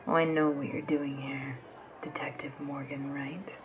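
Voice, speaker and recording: A smug, menacing woman of mystery played by an actress in an ASMR drama. She taunts in a low, slow half-whisper, with faint ambience behind her. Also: flat delivery, slight background noise. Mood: smug, taunting. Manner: taunting, drawling.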